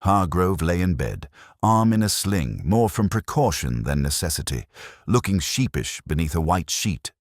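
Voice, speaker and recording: A man's voice: sits low, sing-song, normal speed, no echo, studio quality. A man reading scripted narration of a detective story as an audiobook narrator.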